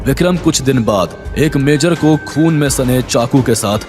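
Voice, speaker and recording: A man's voice: medium pitch, measured pace. Man narrating a thriller over music. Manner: suspenseful, intense. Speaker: story narrator. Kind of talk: dramatic scripted narration of Hindi audio thriller.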